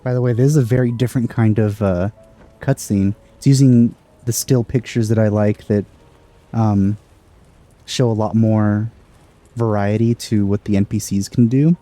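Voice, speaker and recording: A man's voice: lowish voice, fairly even intonation, normal speed, mellow, soft background music. A man giving casual, wry commentary while playing a video game. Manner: offhand, wry. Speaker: let's play host.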